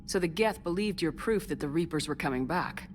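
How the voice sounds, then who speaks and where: A woman playing a low-voiced, blunt commander. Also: animated, brisk, a little hiss or hum, lightly acted. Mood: serious. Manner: blunt, probing, no-nonsense. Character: tough, direct female military commander. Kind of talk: video game voice acting.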